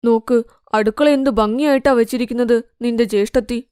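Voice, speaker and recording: A woman voicing an elderly, considerate and gently firm mother-in-law in a cartoon moral story. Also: fairly high pitch, steady tone, a little fast, smooth, dry recording, clean studio sound, voice pushed down, natural voice. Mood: indignant.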